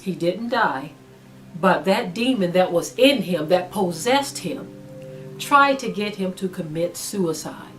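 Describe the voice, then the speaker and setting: A middle-aged woman delivers an earnest sermon in a slightly low voice. She drives her points home by repeating key phrases. Faint music plays beneath her words. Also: animated, takes it slowly. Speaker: preacher. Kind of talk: sermon-style teaching.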